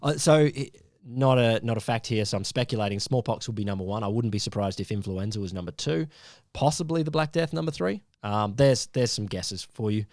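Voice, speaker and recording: A man's voice: lowish voice, fairly quick, darkish tone, dry recording, studio quality. A man co-hosting a casual science podcast, explaining a topic with frequent ums and restarts. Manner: hesitant, lots of ums and restarts, laughs easily.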